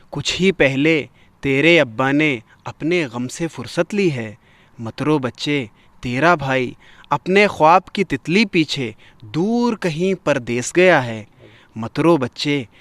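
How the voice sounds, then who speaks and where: A man hosting a poetry gathering, reciting verse and commenting on it in a measured, expressive, literary way. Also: on the high side, no echo.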